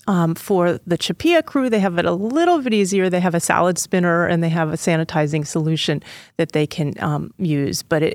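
A woman's voice: on the low side, gravelly, no echo, clean studio sound. A woman plant scientist, a guest on an interview podcast, explaining in a patient, detailed way.

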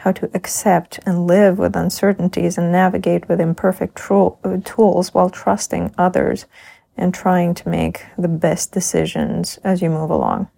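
A woman's voice: lowish voice, flat delivery, moderate pace, creaky, dark tone. A middle-aged woman, a crew member in a simulated Mars habitat, reflecting thoughtfully and earnestly in an audio log.

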